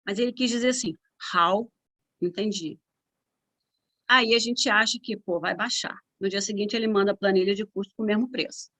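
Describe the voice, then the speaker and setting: Lively Brazilian woman giving a talk. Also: mid-range voice, fairly quick. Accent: Brazilian Portuguese. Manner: lively, conversational, frequent tag questions. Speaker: guest speaker and cross-cultural consultant. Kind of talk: conference talk.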